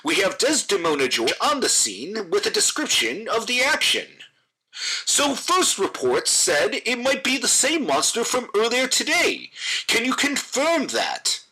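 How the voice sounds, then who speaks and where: A man's voice: high voice, wildly swooping pitch, unhurried, sharp tone, breathy edge, heavily acted, poor audio. A man playing a melodramatic local TV news anchor in a broadcast parody. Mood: newsy, dramatic.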